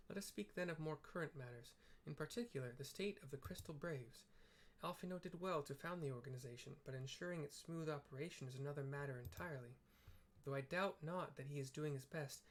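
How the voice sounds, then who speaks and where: A man voicing a formal, composed scholar giving counsel in measured, archaic, courteous phrasing. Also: a little high, natural voice.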